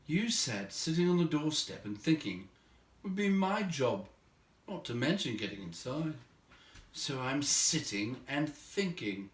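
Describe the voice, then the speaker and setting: A man's voice: on the high side, very animated, measured pace, voice pushed up. A small, put-upon storybook hobbit played by a man frets and grumbles in a raised voice. The delivery is plaintive and self-pitying, full of exclamation. It is heard in an echoing room, away from the microphone. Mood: grumbling. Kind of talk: storybook character voice. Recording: roomy echo, distant microphone.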